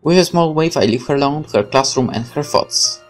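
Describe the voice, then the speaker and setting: A man's voice: on the high side, animated, fairly quick. A man hosting a let's play, switching between casual commentary and reading the game's narration aloud, with game music behind him. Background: video game music.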